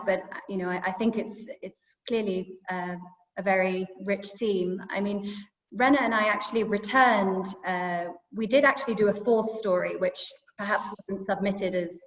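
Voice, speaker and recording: A woman journalist answers slowly and thoughtfully, stopping often to restart her sentences. Her voice comes through a video call with a roomy echo and a long tail of reverb. The sound is dull and muffled. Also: mid-range voice. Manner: halting, thoughtful, many fillers and restarts.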